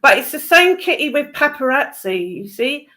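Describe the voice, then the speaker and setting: A woman's voice: high voice, sing-song, moderate pace, sharp tone. A woman with a British accent giving blunt, opinionated commentary in a casual conversation.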